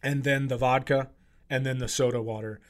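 A man's voice: medium pitch, steady tone, fairly quick. A middle-aged man hosting a podcast, chatting casually in a joking, playfully teasing way. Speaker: podcast host. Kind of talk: casual comic chat.

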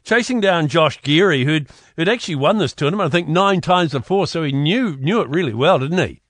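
A man's voice: a little high, animated, fairly quick, a bit bright, no echo. A man hosting a radio interview, asking chatty, friendly questions. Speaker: radio host. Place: radio studio. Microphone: studio mic.

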